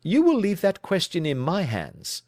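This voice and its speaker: A man playing a self-assured Victorian detective who speaks crisply and with authority. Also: high voice, huge pitch swings, unhurried, a little mellow, dry recording, studio quality, voice pushed up, full character voice. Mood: authoritative.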